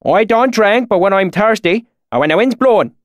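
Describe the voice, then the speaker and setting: A man's voice: high-pitched, sing-song, moderate pace, no echo, voice pushed up. A weathered mountain swineherd, put on by a man, talking in a thick, oily backwoods dialect. The voice sits well above the reader's own and sounds strained and set apart from him. Dry, close recording. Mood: friendly.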